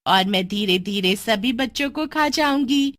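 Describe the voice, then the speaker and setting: A woman voicing a young half-goat witch girl, an animated, eager daughter in a storybook cartoon. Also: a little high, a little slow, dry recording, studio quality. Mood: gloating, menacing. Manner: excitable, pleading, turning gleefully menacing.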